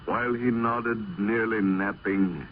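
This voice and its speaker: A man's voice: fairly low pitch, steady tone, slow pace. A man narrating as a dramatic reciter of poetry in old-time radio style, solemn and declamatory. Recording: narrow, noisy vintage radio recording.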